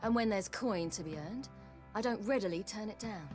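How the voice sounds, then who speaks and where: A woman's voice: mid-range voice, normal speed, darkish tone, soft background music, natural voice. An actress playing a confident young wandering swordswoman in a dramatic video game, speaking in a cool, terse, teasing manner. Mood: guarded, wry. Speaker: voice actor in a game.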